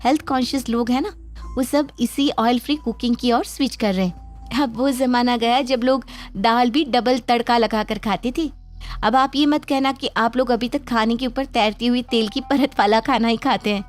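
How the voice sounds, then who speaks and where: Woman playing a high-voiced smug young bride. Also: steady tone, moderate pace, lightly acted. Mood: smug lecturing. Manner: airy, self-assured, condescending. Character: young newlywed daughter-in-law, breezy, smug health-food know-it-all. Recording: background music under the voice.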